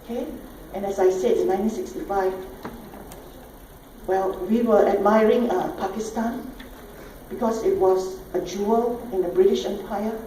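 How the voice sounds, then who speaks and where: A woman's voice: medium pitch, steady tone, normal speed, long pauses, dark tone. A middle-aged woman from Singapore with a Singaporean accent, giving an emphatic conference talk full of rhetorical questions and checks like "all right?". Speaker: conference speaker. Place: conference hall. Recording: echoey hall, distant mic, faint noise.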